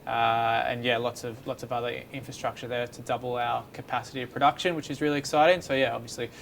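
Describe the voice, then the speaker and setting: A man from a distillery answering interview questions casually, relaxed and repeating words, with many "yeah"s. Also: mid-range voice, quick pace, gravelly, slight background noise. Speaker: distillery guest.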